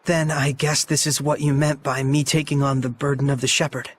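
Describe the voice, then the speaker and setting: An actor playing an earnest, kindhearted young shepherd hero in a video game, speaking casually and sincerely. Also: male voice, mid-range voice, level tone, brisk, slight background noise, natural voice. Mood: pensive. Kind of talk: English video game dub acting.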